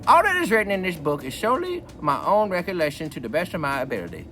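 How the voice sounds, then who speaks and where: Man playing a rambling old grandpa, wildly animated. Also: a little high, quick pace, heavily acted. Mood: folksy storytelling. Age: older. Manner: rambling, repeats himself, wisecracking. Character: folksy, joking old grandpa reading a memoir aloud. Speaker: book reader. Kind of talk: reading a book aloud with rambling comic asides.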